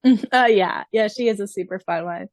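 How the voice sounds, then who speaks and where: A woman's voice: mid-range voice, fairly quick, no room sound. Female podcast co-host, thirties, mid-range voice, casual and giggly, dry recording. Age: 30s. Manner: chatty, giggly, acts out quotes. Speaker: podcast co-host and audiobook reader. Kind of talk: casual fan podcast chat.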